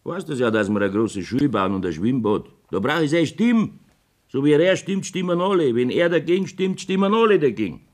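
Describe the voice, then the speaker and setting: A man's voice: medium pitch, big pitch swings, a little fast, close to an everyday voice. A jovial, evasive Bavarian village mayor played by a male actor blusters in earthy dialect. His mid-range voice swings widely up and down, in folksy radio play style. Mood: blustering.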